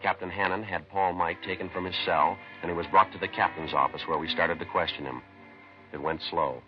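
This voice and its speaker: An actor playing a stoic police sergeant in a clipped, matter-of-fact 1950s radio drama style. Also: male voice, low voice, steady tone, a little fast, close to an everyday voice. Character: stoic middle-aged male police detective sergeant narrating his case. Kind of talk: 1950s radio crime drama acting and narration. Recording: dull lo-fi old radio recording, music behind.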